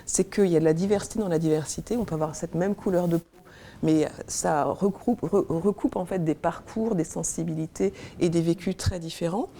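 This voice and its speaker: A woman's voice: lowish voice, brisk, dark tone, slight background noise. A woman from Congo, a senior civil servant, speaking earnestly as a guest in a studio discussion and searching for words as she goes.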